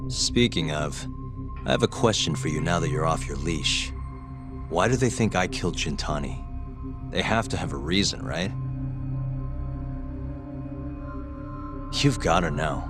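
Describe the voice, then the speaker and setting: Very deep, wry private investigator. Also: male voice, lively intonation, over music. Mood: teasing, probing. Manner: dry, sardonic, unhurried questioning. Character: cool, wry male private investigator, former lawyer, unflappable. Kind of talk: English video game dub acting.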